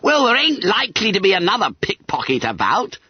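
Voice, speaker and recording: Casting: a whiny fat schoolboy, shrill and stammering, swooping all over the place, dull lo-fi sound. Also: male voice, huge pitch swings, fairly quick, slightly rough, no room sound, full character voice. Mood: whining. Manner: squeaky, stammering, babbling. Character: fat greedy schoolboy, whiny and self-pitying.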